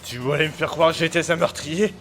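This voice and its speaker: A man's voice: fairly high pitch, fairly even intonation, a little fast, a bit bright, close to an everyday voice. An actor playing an anxious young man who grows suspicious of his partner. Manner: tense, questioning. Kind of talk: amateur audio drama.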